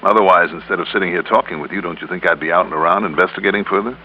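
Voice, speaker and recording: An actor playing a tough, composed insurance investigator with dry, pointed questioning in a 1950s radio detective drama. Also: male voice, deep voice, racing, natural voice. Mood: accusing. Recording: muffled old radio recording, faint hiss.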